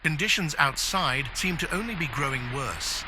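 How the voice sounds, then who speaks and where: A man playing a composed news reporter, reading a formal storm report in a measured way. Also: on the high side, lively intonation, noisy background, close to an everyday voice. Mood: serious.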